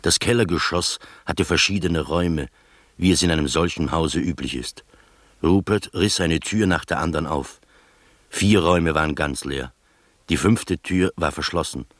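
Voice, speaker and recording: Male narrator, measured, literary radio play, low pitch, close dry sound. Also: fairly bright tone, no echo. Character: observant male first-person storyteller.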